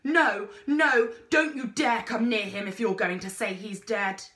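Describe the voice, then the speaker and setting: A woman's voice: fairly high pitch, unhurried, a bit bright, roomy sound. A woman voicing a fierce, desperate young girl in a storybook, urgent and demanding. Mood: furious, desperate.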